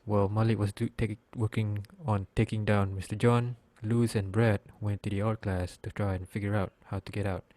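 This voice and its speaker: A male narrator reads a scripted drama aloud. His voice is low and very mellow, and his delivery is a little halting, with stumbles over the text. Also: flat delivery, no echo. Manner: halting reading with slips.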